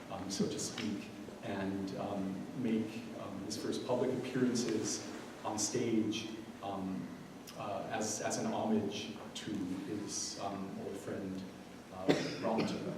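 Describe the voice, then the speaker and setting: An American man, a scholar and curator, giving an unscripted speech at an event, halting with many ums and restarts. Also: on the low side. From United States. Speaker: guest speaker, scholar and curator. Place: large event room. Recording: echoey room, mic far off, audible noise.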